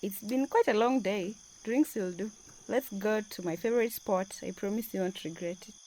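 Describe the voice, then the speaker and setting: A woman's voice: medium pitch, moderate pace, darkish tone, a little hiss or hum, close to an everyday voice. A woman acting a bold, self-assured working wife in a radio drama. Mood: friendly, easygoing. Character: bold, defiant, fun-loving working wife.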